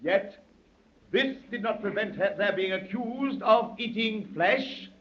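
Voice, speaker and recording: An actor playing an impassioned anarchist orator, solemn and rhetorical, in a 1930s radio drama. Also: male voice, sits high, takes it slowly. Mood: pleading, fervent. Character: impassioned anarchist orator addressing his secret brotherhood. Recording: muffled old 1930s radio recording.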